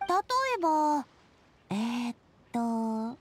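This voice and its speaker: A woman voicing a confident, playful young lady in an anime game, talking in a casual, breezy, expressive way. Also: on the high side, very slow, breathy edge, exaggerated voice. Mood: playful, encouraging. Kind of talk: Japanese anime game voice acting. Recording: studio voice with music underneath.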